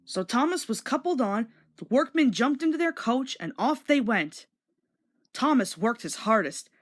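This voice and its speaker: High, animated woman storyteller. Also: moderate pace. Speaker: story narrator. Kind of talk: scripted children's storybook read-along.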